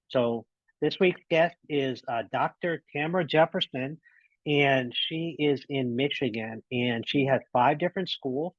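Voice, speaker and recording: A man's voice: mid-range voice, no echo, lo-fi sound. A man hosting a podcast interview, upbeat and rambling, often restarting his sentences. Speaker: podcast host.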